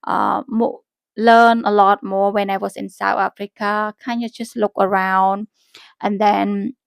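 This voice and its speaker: A woman in her thirties from Cambodia, a native Khmer speaker with a Cambodian accent, chats casually as a vlogger in halting, self-correcting, simple phrasing. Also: mid-range voice, little pitch movement, vocal fry, clear, resonant tone, dry recording. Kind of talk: casual rambling vlog chat.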